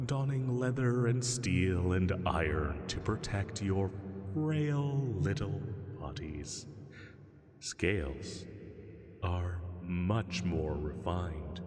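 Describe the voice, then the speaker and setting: A man voicing a huge male dragon in a roleplay sleep-aid drama, teasing and soothing with gentle menace, lazily amused, with drawn-out pauses. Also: deep voice, full character voice. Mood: proud, teasing. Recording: long cavernous reverb.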